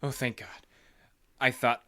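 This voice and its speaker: An actor playing a frightened male victim, pleading in panic with a husky voice in an audio horror drama. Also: mid-range voice, fairly even intonation, slow pace, pushed and bright.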